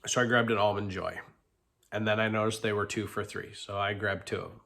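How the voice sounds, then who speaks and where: A man's voice: fairly low pitch, steady tone, a little fast, creaky, pushed and bright. A middle-aged man with a stuffy, congested nose, talking candidly in a rambling vlog confession full of ums and restarts. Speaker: vlogger.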